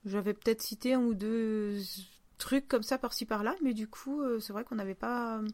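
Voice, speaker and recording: A woman's voice: fairly high pitch, steady tone, moderate pace, darkish tone, no room sound. A woman hosting a podcast in a casual, informal and playful conversational style. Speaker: podcast host.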